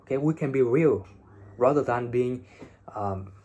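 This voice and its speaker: A young man from Vietnam with a Vietnamese accent and a slightly husky voice, giving blunt, informal English-learning advice and swearing freely, recorded on a phone. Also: medium pitch, lively intonation, unhurried, dark tone. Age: young adult. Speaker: English teacher and video host. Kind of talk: casual advice talk to camera. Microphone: phone, no separate microphone.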